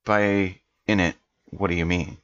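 Male tabletop player, low register, measured casual roleplay, dull lo-fi sound. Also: fairly even intonation, a bit bright, smooth.

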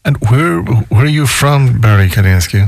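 A man's voice: mid-range voice, lively intonation, moderate pace, gravelly, no echo, clean studio sound. A man hosting a radio interview, chatty and given to restarts. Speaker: radio host.

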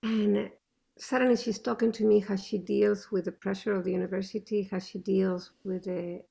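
Gravelly-voiced woman with a thick accent. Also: medium pitch, moderate pace. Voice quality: speaks with a thick non-native accent. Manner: animated, emphatic storytelling. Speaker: interview guest, mother. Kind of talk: conversational interview.

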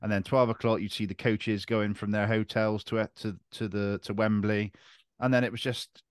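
Middle aged bloke hosting a podcast, lowish voice, dry recording, casual chat. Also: male voice, little pitch movement, normal speed, smooth, dry recording. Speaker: podcast host.

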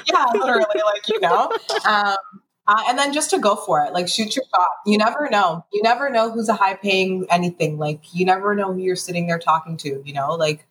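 A woman's voice: medium pitch, fairly quick, a bit bright, slight background noise, poor audio. A woman guesting on a podcast, chatting frankly and giggling, with a lot of "like" in her speech. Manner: frank, giggly, heavy use of "like". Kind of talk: casual chat.

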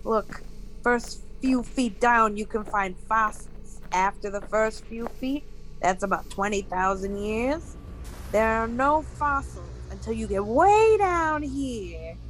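An actress playing a plain-spoken, puzzled field geologist in a radio science fiction drama, blunt and informal. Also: female voice, fairly high pitch, lively intonation, slow. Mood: intrigued. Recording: noisy old radio recording.

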